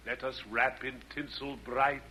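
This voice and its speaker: An actor playing a gleefully wicked Roman emperor demon, deliberate and sing-song in a 1940s radio style. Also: male voice, mid-range voice, little pitch movement, bright, forceful tone, slight background noise. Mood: sly, gleeful. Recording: old 1940s radio broadcast.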